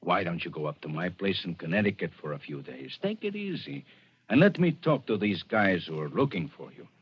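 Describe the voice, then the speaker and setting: An actor playing a firm, businesslike American gang boss in 1940s radio style, blunt and authoritative. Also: male voice, deep voice, very animated, a little fast. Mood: placating. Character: firm, businesslike male gang boss, stern but placating. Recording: dull, noisy old radio recording.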